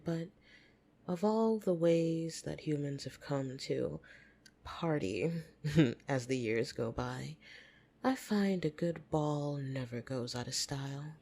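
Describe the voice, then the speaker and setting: Female vampire, played by a woman, ASMR roleplay. Very low, unhurried, fond and possessive, soft teasing whispers. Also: exaggerated voice. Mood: playful, teasing. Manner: soft, breathy, teasing, often dropping into whispers. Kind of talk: intimate ASMR roleplay, scripted monologue to listener. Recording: music behind the voice.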